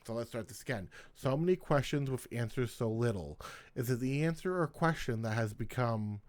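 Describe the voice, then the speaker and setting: A man's voice: medium pitch, lively intonation, normal speed, a little mellow. A man hosting his own poetry channel, reading his poems aloud in a casual way and starting lines over when he stumbles.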